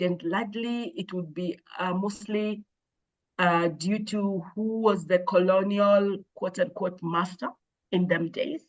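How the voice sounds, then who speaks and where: A woman, an education expert, answering interview questions in a measured, thoughtful way with frequent hesitations and restarts. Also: medium pitch, fairly bright tone, highs cut off. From Kenya. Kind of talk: explaining in an interview.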